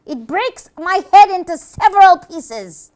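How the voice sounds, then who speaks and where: A woman's voice: extremely high pitch, expressive, a little slow, darkish tone, voice pushed up. A woman voicing a cocky, boastful little evil minion in a children's puppet show, with a theatrical, exasperated manner. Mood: dramatic complaining.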